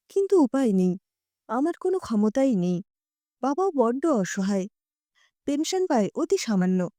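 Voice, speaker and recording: A woman narrating an emotional first-person story in an expressive, dramatic storytelling style. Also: high voice, no echo, studio quality.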